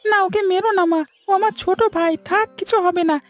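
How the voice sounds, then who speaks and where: A woman's voice: very high voice, steady tone, fairly quick, soft background music. A woman voicing an earnest, obedient schoolboy in a cartoon, a sweet and protective older brother with a childlike manner. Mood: gentle, coaxing. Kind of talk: Bengali cartoon voice acting.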